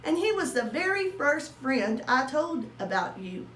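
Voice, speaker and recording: A woman's voice: high-pitched, big pitch swings, unhurried, a bit bright, recorded from a distance, heavily acted. A woman voicing a friendly, sincere man in a children's storybook. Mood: proud, friendly. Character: friendly, warm-hearted man, a hamster's buddy. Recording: roomy echo with faint noise.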